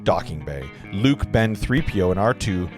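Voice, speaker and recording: A man's voice: low voice, big pitch swings, moderate pace, over music. A man reading stage directions as narrator at an informal table read, sometimes stumbling and correcting himself.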